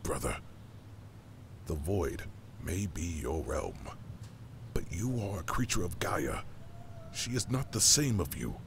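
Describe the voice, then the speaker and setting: An actor playing a wary, cautioning brother god in an audio drama, grave and deliberate in manner. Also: male voice, deep voice, sing-song, creaky, noisy background, full character voice.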